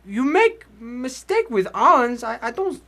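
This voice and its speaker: Frail, halting old man. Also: very high-pitched, big pitch swings, bright, forceful tone, full character voice. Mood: weak, bewildered. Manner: halting, stammering, broken phrasing. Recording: noisy old 1940s radio recording.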